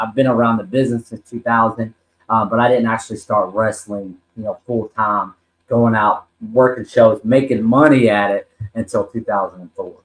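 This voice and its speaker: A man's voice: medium pitch, a bit bright, reverberant, low-quality recording. A man in his forties telling his life story as a podcast guest, earnest and rambling, with many ums and restarts. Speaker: podcast guest, wrestler and talent manager. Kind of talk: personal story in a podcast interview.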